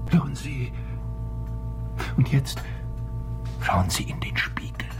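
An actor playing a brisk, self-assured Victorian detective in a German radio drama, commanding and deliberately explanatory. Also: male voice, medium pitch, lively intonation, creaky, whispered, over music. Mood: hushed, alert.

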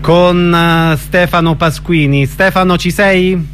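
A man's voice: high-pitched, steady tone, slow, a bit bright, soft background music. A man hosts an informal radio interview, putting questions to a guest.

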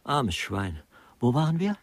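An actor playing an offhand, self-absorbed literary critic in a satirical radio crime drama. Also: male voice, mid-range voice, sing-song, unhurried, slight background noise. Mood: offhand, blasé.

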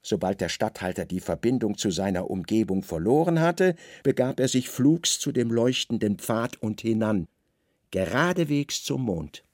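A man narrating a literary radio play in measured, expressive, weighted phrases. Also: fairly low pitch, no room sound, clean studio sound. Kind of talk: literary scripted narration, dramatic audio drama delivery.

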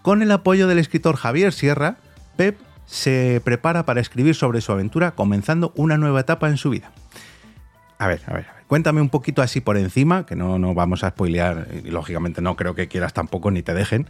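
A man's voice: mid-range voice, fairly quick, no echo, soft background music. A man with an accent from Spain hosting a conversational interview in an enthusiastic manner. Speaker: podcast host and interviewer.

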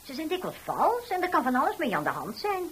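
An actress playing a chatty, persuasive, well-meaning busybody neighbour in a radio drama, with a gossipy way of talking. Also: female voice, sits high, wildly swooping pitch, fast, a bit bright, a little hiss or hum, exaggerated voice. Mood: cautioning. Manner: gossipy, repeats who said what.

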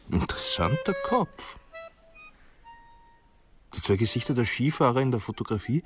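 An actor playing a stubborn, brooding private detective who muses dryly and wryly in a radio play. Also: male voice, medium pitch. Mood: wry, pondering. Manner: reflective, dry first-person musing. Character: stubborn one-armed male private detective, brooding and wry.

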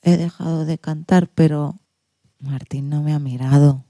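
A woman's voice: sits low, fairly even intonation, measured pace, mellow, slight background noise. A woman playing a dreamy, lovestruck little girl who tells her own story simply and wistfully in a children's radio play. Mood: dejected.

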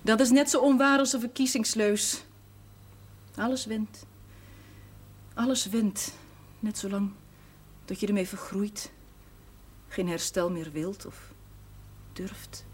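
A woman's voice: medium pitch, fairly even intonation, takes it slowly, pauses often, lightly acted. Actress doing tired housekeeper lady, plain spoken and resigned, old tv drama with some noise behind. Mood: bitter, resigned. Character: self-sacrificing priest's housekeeper, his sister, weary and resigned. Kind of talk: naturalistic television drama acting. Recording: noisy old television soundtrack.

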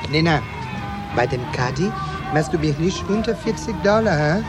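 A man's voice: a little high, expressive, normal speed, natural voice. Sassy, proud street queen, played by a man, music behind. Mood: brash, chatty. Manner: sassy, peppers speech with Spanish endearments. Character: proud, touchy transgender woman street hustler with Spanish flourishes. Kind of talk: radio drama acting. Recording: loud music behind the voices. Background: loud music.

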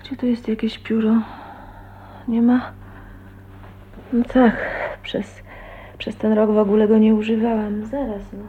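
An actress playing a shy, heartbroken young woman in a radio drama, often murmuring to herself in a hushed, breathy way. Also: on the high side, flat delivery, measured pace, long pauses, lightly acted. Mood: agitated, searching. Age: young adult. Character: shy, heartbroken 29-year-old woman leaving her husband. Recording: tinny, band-limited 1980s radio recording, hissy.

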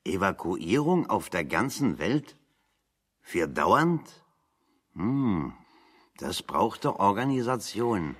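A man's voice: fairly low pitch, slow, pauses often, breathy edge, exaggerated voice. A man acting in a German radio play as a plain-spoken, dryly ironic exile in conversation. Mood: deadpan. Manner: deadpan, ironic.

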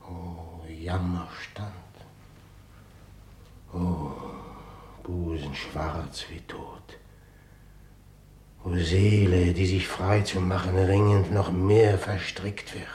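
A man's voice: deep voice, fairly even intonation, very slow, long pauses, mellow, echoey room. An actor playing a tormented, commanding usurper king in a radio tragedy, with heavy declamatory delivery. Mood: anguished, remorseful. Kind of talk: classical stage tragedy radio acting.

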